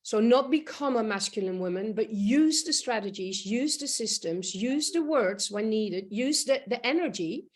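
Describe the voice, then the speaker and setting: A woman's voice: on the high side, expressive, moderate pace, hardly any pauses. A woman panelist on a talk show who speaks with confidence and emphasis.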